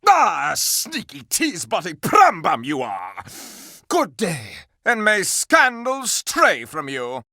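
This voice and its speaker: A man's voice: high voice, huge pitch swings, slow pace, sharp tone, no echo, clean studio sound, exaggerated voice. A man voicing a pompous, gossip-mad living candelabrum in a video game, with grandiloquent, melodramatic courtly speech. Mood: delighted, scandalized. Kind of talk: theatrical video game character acting.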